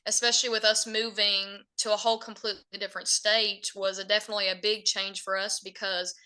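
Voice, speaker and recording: A woman's voice: mid-range voice, little pitch movement, a little fast, bright, forceful tone, clean tone. A young woman from Virginia with a Southern Appalachian accent, a country singer chatting earnestly as a podcast guest. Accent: Southern Appalachian American. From Virginia, USA. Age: young adult. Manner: chatty and earnest, rambling run-on answers. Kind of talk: casual podcast interview.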